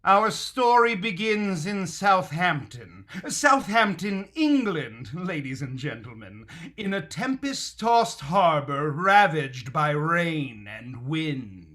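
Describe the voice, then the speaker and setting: An actor playing a grand, theatrical 1930s radio announcer, with dramatic pauses. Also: male voice, on the high side, big pitch swings, pushed and bright, heavily acted. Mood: grand, showy.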